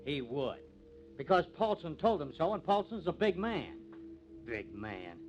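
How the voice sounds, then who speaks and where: A man's voice: on the high side, big pitch swings, measured pace, faint music behind. An actor playing a bitter, hot-headed young man, sneering and combative, in 1950s radio drama style. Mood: bitter, scornful. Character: bitter, hot-headed young farmer's son, contemptuous of lawmen. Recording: muffled, noisy old radio recording.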